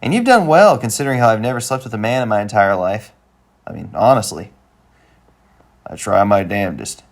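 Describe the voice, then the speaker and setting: A man voicing a blunt, sentimental middle-aged father in an animated comedy-drama, talking in a folksy, rambling way. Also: on the low side, brisk. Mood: proud, blunt.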